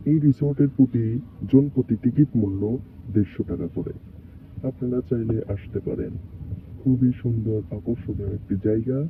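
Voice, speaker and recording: A man's voice: mid-range voice, steady tone, moderate pace, recorded from a distance, phone-quality sound, slight background noise. A man with a Bangladeshi accent giving walking travel vlog commentary outdoors, guiding viewers around as he goes. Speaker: vlogger, tour guide to viewers.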